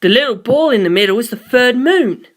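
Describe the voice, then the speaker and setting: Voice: medium pitch, expressive, brisk, voice pushed up, lightly acted. A reader voicing a tense male mission control officer in a space story, urgent and formal. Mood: alarmed.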